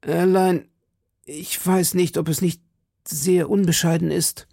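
A man's voice: fairly high pitch, steady tone, slow, vocal fry, dark tone, no echo, clean studio sound, pitched above the performer's own voice, heavily acted. A man voicing a timid, deferential middle-aged landlord in an audiobook, hesitant and formally polite. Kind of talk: audiobook character dialogue.

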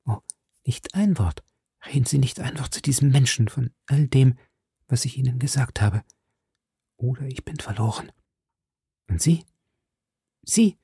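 A man voicing a desperate, scheming noblewoman prisoner, pleading in raised, husky tones and hushed whispers. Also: lively intonation, unhurried, pauses often, no room sound, studio quality, full character voice. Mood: urgent hushed. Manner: imploring, breathy whispers and high raised pleas.

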